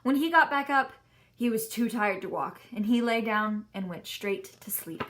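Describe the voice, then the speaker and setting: A woman reading a children's story aloud in an expressive, emphatic way. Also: fairly high pitch, moderate pace.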